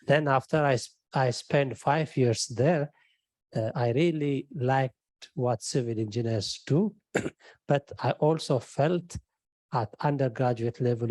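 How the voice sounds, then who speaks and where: A middle-aged man with an Ethiopian accent, a professor on a panel, telling his story in a relaxed way, often adding "you know". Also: mid-range voice, no room sound. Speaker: wind engineering professor, panelist. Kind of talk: panel discussion answer.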